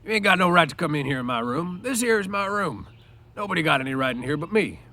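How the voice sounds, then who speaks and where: Storybook role: aloof, embittered stable worker, performed by a male reader, raised pitch, sharp, complaining rural delivery. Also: expressive, fast talker. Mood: sharp, scowling. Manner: sharp, complaining, defensive, folksy rural speech. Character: proud, aloof, bitter stable hand with crooked back. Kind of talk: storybook read-aloud character voice.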